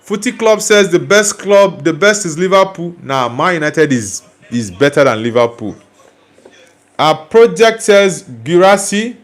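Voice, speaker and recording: A man's voice: a little high, animated, measured pace. A young man from Nigeria hosting a live stream, casually reading viewer comments aloud and answering them bluntly. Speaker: livestream host reading chat.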